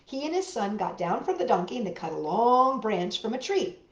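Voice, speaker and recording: A woman's voice: on the high side, big pitch swings, normal speed. A woman hosting a children's storytime, telling and explaining things simply and expressively to young listeners. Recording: roomy echo, slightly dull sound.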